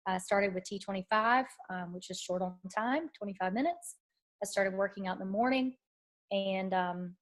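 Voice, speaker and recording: A woman, a little nasal as she recovers from bronchitis, telling her story as a fitness coach on a casual team call from her couch at home. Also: mid-range voice. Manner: chatty, storytelling, quoting herself.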